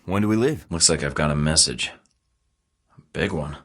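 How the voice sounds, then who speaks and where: A cocky wisecracking young spy, low and dry. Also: male voice, moderate pace, fairly bright tone, dry recording. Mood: wry. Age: young adult. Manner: dry, sarcastic quips. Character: cocky young male secret agent, wisecracking. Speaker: video game protagonist. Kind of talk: video game voice acting. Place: recording studio booth. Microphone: close studio mic.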